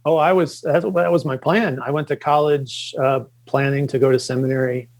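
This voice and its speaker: A middle-aged man from the Chicago suburbs, a podcast guest, talking casually and haltingly with many fillers and restarts. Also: mid-range voice, fairly even intonation, darkish tone. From Chicago suburbs, Illinois. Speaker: podcast guest, comics creator. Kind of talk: casual interview talk.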